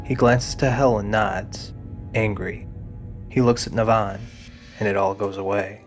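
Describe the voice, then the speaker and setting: A man giving a scripted, deliberate narration of a fantasy audio drama. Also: fairly low pitch, fairly even intonation, faint music behind.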